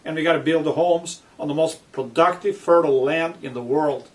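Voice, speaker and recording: Male author-reader, fairly high register, emphatic and measured delivery with spoken asides, slightly distant microphone. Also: a little hiss or hum. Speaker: author reading own book aloud with commentary. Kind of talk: reading aloud with spoken commentary.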